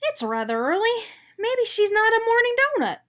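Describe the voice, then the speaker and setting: A woman voicing an excitable, dramatic little male doughnut in a children's storybook, full of exclamation. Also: very high-pitched, normal speed, pitched above the performer's own voice, full character voice. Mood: musing.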